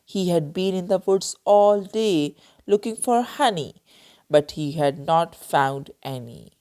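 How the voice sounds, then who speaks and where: A woman storyteller reading a children's book aloud with expressive narration. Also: lowish voice, slow pace.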